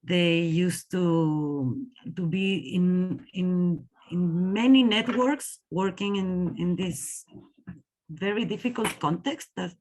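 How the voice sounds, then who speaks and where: A woman's voice: on the low side, slow, darkish tone, poor audio. A woman answering as a panelist, searching for words and repeating some as she explains.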